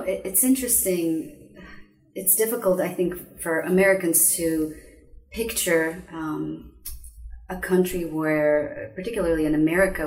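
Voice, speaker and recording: Low-voiced woman, roomy and off mic. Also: a little mellow, distant mic. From Bulgaria. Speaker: studio guest. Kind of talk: telling her life story in an interview.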